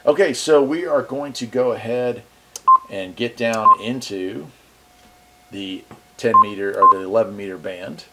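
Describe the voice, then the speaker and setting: A man's voice: lowish voice, measured pace, heavy background noise. A man hosting a casual product walkthrough, easygoing and thinking aloud as he goes. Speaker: radio reviewer, channel host.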